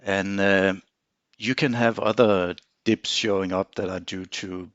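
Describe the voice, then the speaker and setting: A man giving an informal technical screen demonstration, explaining in a halting way with frequent pauses. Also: deep voice, fairly even intonation, no echo, highs cut off. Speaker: engineer presenting a software tutorial.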